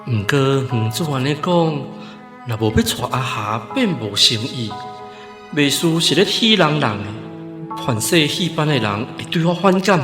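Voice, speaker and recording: Guy acting a rich scheming taiwanese gent, persuasive, radio play, medium pitch, music clearly behind. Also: animated, normal speed, lightly acted. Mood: troubled, calculating. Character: wealthy scheming married gentleman wooing an opera actress.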